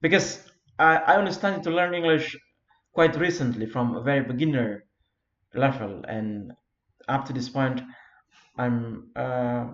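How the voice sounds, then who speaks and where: A man from Flores in eastern Indonesia with an Indonesian accent, giving a simple self-made lecture in English, often pausing with fillers and repeated words. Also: medium pitch, a bit bright. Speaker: founder of an English learning community. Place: Europe. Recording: roomy, dull lo-fi recording.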